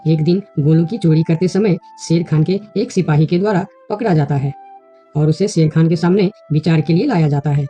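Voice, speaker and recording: A woman's voice: deep voice, normal speed, warm tone. A woman giving scripted narration of a children's animal story, with music under her voice.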